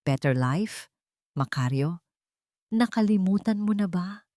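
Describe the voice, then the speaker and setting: A woman's voice: lowish voice, expressive, takes it slowly, warm tone, dry recording, studio quality. A woman with a Filipino accent voicing a hurt, determined mother in a story, bitter and trembling but firm. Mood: bitter, defiant. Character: determined, hurt young mother protecting her daughter.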